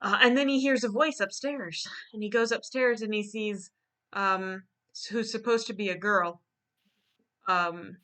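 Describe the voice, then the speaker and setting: Bright-voiced woman joking through a story. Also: mid-range voice, a little slow. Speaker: illustrator presenting her drawings. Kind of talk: casual joking storyboard walkthrough.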